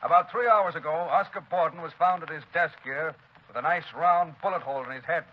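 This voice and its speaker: A man's voice: fairly high pitch, brisk. Man in 1930s radio drama, formal. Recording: dull old radio recording, faint hiss.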